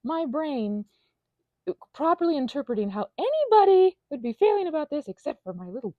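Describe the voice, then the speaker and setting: An anxious, panicky woman rambling casually as a vlog host, halting and drifting off on tangents, with playful theatrical flourishes. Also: high voice, very animated, no room sound, narrow, tinny audio. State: unwell. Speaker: ASMR channel host.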